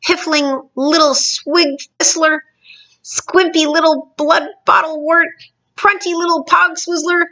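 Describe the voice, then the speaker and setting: A woman voicing a huge, bullying male giant from a storybook, shouting garbled, ungrammatical insults. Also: on the high side, steady tone, normal speed, lo-fi sound. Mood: furious.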